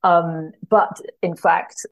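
Low-pitched, creaky-voiced woman guest. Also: fairly even intonation, very slow. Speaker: guest literary translator. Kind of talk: casual podcast interview chat.

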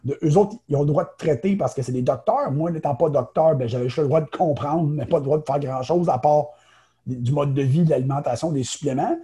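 Quebec French male guest, naturopath, casual, higher voice, very warm tone. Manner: chatty, quick, many fillers and restarts. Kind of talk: casual interview talk.

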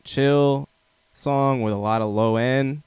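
A man hosting a casual interview, relaxed and encouraging, with a lot of "like" in his speech. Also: mid-range voice, takes it slowly, smooth, dry recording. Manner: relaxed, affirming, uses "like" and "man". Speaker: interview host.

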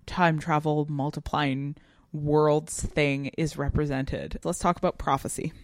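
A woman's voice: low voice, fairly even intonation, unhurried, dry recording. A woman co-hosting a podcast, talking through a literary analysis in an emphatic, reflective way with academic phrasing. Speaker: podcast co-host. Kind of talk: analytical literary discussion, conversational.

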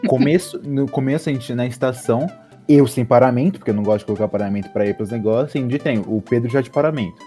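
A man's voice: medium pitch, quick pace, hardly any pauses, dark tone, soft background music. A Brazilian man in his late teens, a podcast guest chatting casually with playful, mock-grand wordy phrasing. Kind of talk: casual storytelling banter.

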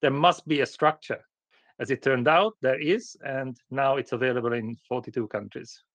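A man's voice: mid-range voice, moderate pace, fairly bright tone, no echo. A man from Hungary with a Hungarian accent, talking as an interview guest in short, plain-spoken, direct sentences. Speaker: podcast guest, company founder. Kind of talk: conversational interview storytelling.

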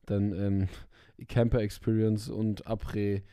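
A young man co-hosting a podcast in casual chat, a bit hoarse from being ill, rambling and slangy. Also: fairly low pitch, level tone, slow pace, dark tone, no echo, clean studio sound. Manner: slangy, rambling, self-interrupting. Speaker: podcast co-host.

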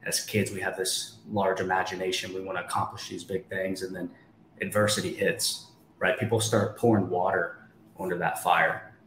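A man's voice: deep voice, flat delivery, moderate pace, fairly bright tone. A man in his twenties, a guest on a podcast, telling his story in an emphatic, motivational way and often asking rhetorical questions. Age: 20s. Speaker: guest, real estate investor. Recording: echoey room, faint background noise.

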